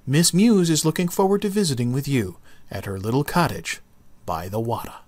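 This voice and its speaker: A man narrating a children's book as an expressive read-aloud. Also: mid-range voice, normal speed, no echo. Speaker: storybook narrator.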